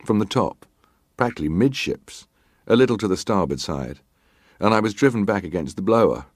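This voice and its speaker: A man's voice: fairly low pitch, expressive, a little fast, dry recording, studio quality. An actor playing a stoic ship's officer giving testimony at a formal hearing, clipped and matter-of-fact in his answers. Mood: grave recounting. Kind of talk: dramatized reading of hearing testimony.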